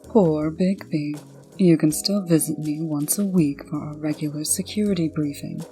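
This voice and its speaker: A woman's voice: sits low, normal speed, warm tone, faint music behind, natural voice. A woman playing a proud, impatient woman in charge, stern and clipped, in a comic dub. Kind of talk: comic dub character acting.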